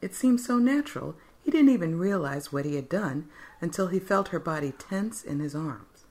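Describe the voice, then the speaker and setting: A woman narrates a fiction story in a scripted, dramatic style. Her voice is notably low for a woman. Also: expressive, normal speed, darkish tone.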